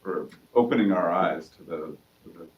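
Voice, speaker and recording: A man in his sixties speaking on a panel discussion, thoughtful and pausing with frequent ums and restarts. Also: deep voice, dull audio. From United States. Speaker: panelist, sociology professor. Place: university event hall. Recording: roomy hall heard from far off, faint noise.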